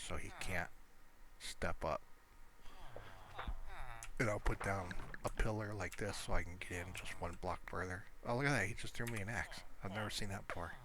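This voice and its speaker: Low-pitched man rambling through gameplay. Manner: rambling, thinking aloud. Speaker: gamer showing his Minecraft world. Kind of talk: casual gameplay commentary.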